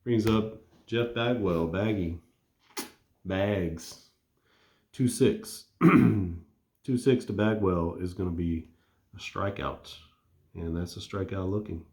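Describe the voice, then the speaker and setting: Low-voiced man calling a dice baseball game. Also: slow, pauses often, roomy sound. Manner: relaxed and conversational, thinking aloud while rolling. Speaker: tabletop game player narrating a replay.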